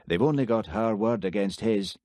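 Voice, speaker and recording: A man reading an audiobook, voicing a Highland village policeman who talks plainly and questions firmly. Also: normal speed, mellow, dry recording, clean studio sound, close to an everyday voice. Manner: plain-spoken, firm questioning. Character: Highland village policeman, easygoing but stern. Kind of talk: audiobook character voice.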